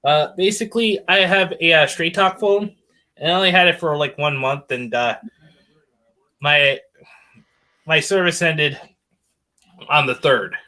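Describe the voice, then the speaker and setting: Bright-voiced rambling man. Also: on the high side, measured pace, long pauses. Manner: rambling, lots of fillers. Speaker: livestream host. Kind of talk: casual chat. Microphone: laptop mic.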